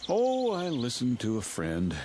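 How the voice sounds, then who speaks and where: An actor playing a wry, easily exasperated motorist in a radio drama, with music behind him. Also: male voice, mid-range voice, huge pitch swings, measured pace, darkish tone, a little hiss or hum. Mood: wry, casual. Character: exasperated male motorist, a wry traveller lost with his dog.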